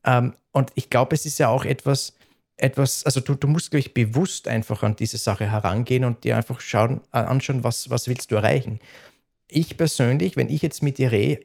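Measured man with a dialect. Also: mid-range voice, vocal fry, darkish tone. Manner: sober, measured, explains at length with restarts. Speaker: streamer. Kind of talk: conversation.

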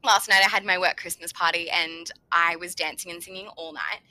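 A young woman hosting a solo podcast, giving chatty, upbeat advice, her voice slightly hoarse. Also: fairly low pitch, fairly even intonation, a little fast, bright, forceful tone, no echo, rough recording. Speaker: podcast host. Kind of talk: solo marketing podcast talk.

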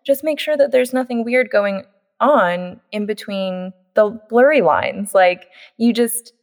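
A woman hosting a podcast, talking in a relaxed, agreeable way with casual fillers. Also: mid-range voice, takes it slowly. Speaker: podcast host.

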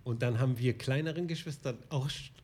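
A man's voice: medium pitch, brisk, husky. A man born in Morocco and raised in Germany, chatting casually and telling stories with frequent hesitations. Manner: relaxed storytelling, frequent hesitations. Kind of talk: casual conversation.

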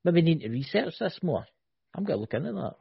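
A man co-hosting a podcast, husky in tone, tossing out one wild theory after another and checking in with "right?" His mid-range voice sounds dull and lo-fi, captured dry with no room around it. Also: a little high, fast, no echo. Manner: animated, speculative, keeps asking "right?". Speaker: podcast co-host. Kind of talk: casual chat.

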